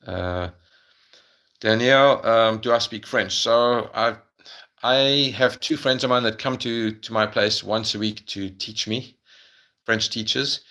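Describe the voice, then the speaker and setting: A man's voice: lowish voice, slow, sharp tone. A middle-aged man with a South African accent, answering viewers' questions on a casual livestream, hesitant, with many ums and restarts.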